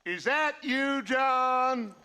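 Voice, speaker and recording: An actor playing a philosophizing outlaw gang leader in a western video game, halting and mockingly courteous, with calm menace. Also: male voice, very high-pitched, clean tone, full character voice.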